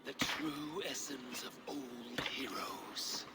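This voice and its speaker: An actor playing a solemn, philosophical Norse man in a video game, speaking in a measured, brooding, poetic manner. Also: medium pitch, steady tone, very noisy, natural voice. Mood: solemn, reflective. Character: solemn, philosophical Norse man who reveres glory and old heroes. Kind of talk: video game cutscene acting.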